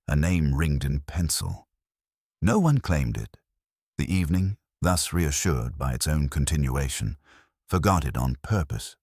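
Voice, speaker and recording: A man narrating a wry mystery story in a literary, measured, dryly ironic manner. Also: a bass voice, husky, dry recording, studio quality.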